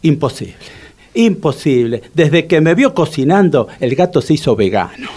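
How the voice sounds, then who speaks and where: A man's voice: on the high side, big pitch swings, normal speed, a little mellow. An actor playing a proud, fussy older neighborhood man who cooks, with an Argentine Rioplatense accent and a hesitant, repetitive, self-important manner, in a comic radio drama. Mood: boastful, indignant.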